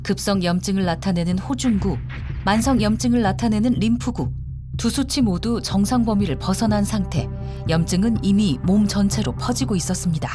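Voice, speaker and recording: A woman narrates a documentary script in a measured, dramatic cadence, at a middle pitch. Music plays clearly behind her voice throughout.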